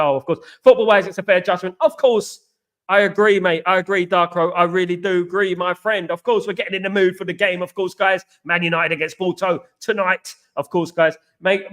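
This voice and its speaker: High-voiced chatty male stream host. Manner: chatty, repeats himself, shouts out viewers. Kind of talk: live stream chat reading comments. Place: China.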